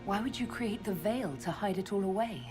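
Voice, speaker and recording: A woman's voice: medium pitch, brisk, close to an everyday voice. An actress playing a young female elf leader who questions directly, hurt but searching for answers. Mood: probing. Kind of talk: video game voice acting. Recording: game audio with music score.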